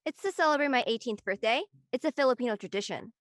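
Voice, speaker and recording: A young woman playing a practical seventeen-year-old high school girl in an audio play, casual and matter-of-fact. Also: lively intonation, quick pace, dry recording, studio quality, lightly acted. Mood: teasing, matter-of-fact. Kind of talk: conversational acting in an online audio play.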